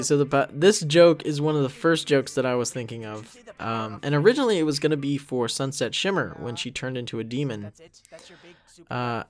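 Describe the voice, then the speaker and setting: A young man giving a casual commentary track in a rambling, self-critical way, often trailing off mid-thought. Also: mid-range voice. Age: young adult. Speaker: creator of an animated parody series.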